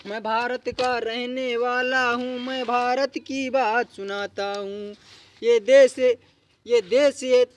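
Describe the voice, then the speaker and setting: Man, very high voice, flat monotone melody, slow repetitive protest chant, addresses a crowd. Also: no room sound. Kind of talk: sung protest verses with short spoken lead-in. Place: public gathering with a seated audience.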